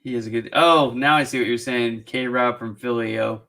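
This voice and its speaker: A man hosting a casual live-streamed Q&A on job searching, animated and joking, with quick restarts. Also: medium pitch, vocal fry. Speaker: career advice show host.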